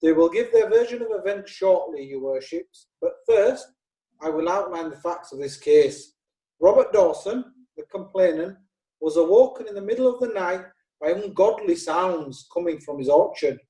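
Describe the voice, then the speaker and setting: A man playing a high-voiced pompous prosecutor. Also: expressive, normal speed, dark tone, echoey room, far from the mic, poor audio. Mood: accusing. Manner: formal, theatrical courtroom oratory. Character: pompous male prosecuting lawyer. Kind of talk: radio drama acting.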